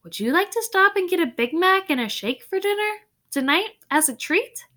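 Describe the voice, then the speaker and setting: Woman reading as a patient, puzzled dad, lifted pitch. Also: lively intonation, normal speed, pushed and bright, heavily acted. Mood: gently offering. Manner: questioning, coaxing. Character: patient, puzzled father questioning his daughter.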